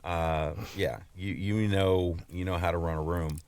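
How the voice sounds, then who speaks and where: A man co-hosting a podcast, chatting casually in a measured, explanatory way. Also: low voice, fairly even intonation, darkish tone, no echo. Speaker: podcast co-host. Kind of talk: casual chat.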